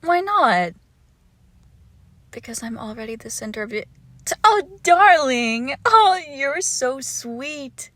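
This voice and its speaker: A woman playing a lovesick, possessive witch in an intimate ASMR roleplay, coaxing and teasing her captive, slipping between soft speech and slow whispers. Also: on the high side, very animated, no echo. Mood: delighted.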